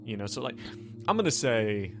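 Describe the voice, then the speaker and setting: A man of average pitch runs a tabletop roleplaying game. He narrates and explains rulings in a casual, conversational way, with clear music underneath. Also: big pitch swings, moderate pace. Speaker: game master.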